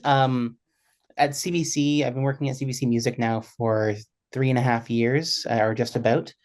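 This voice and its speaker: A man's voice: medium pitch, steady tone, normal speed, darkish tone. A man talking casually as a panelist about his career as a music programmer for radio.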